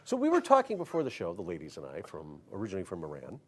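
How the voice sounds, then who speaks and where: A man's voice: sits low, wildly swooping pitch, very fast, husky. A man hosting a casual talk show, rambling and cutting himself off mid-sentence. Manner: rambling, self-interrupting.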